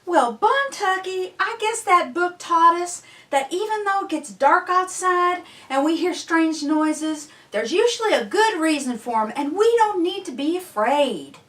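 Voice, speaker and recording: A woman playing a sweet, chatty grandmother on a children's show, talking in an animated, folksy, exaggerated way. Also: extremely high pitch, a little slow, distant mic, pitched above the performer's own voice, heavily acted. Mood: reassuring. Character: sweet chatty grandmother with a big stuffed bear.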